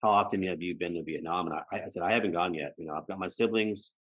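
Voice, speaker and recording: Low-voiced American man, dry close sound. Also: fast talker, a bit bright, no echo. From United States. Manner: frequently ends phrases seeking agreement with "right" and "you know". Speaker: podcast guest. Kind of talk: reflective personal conversation.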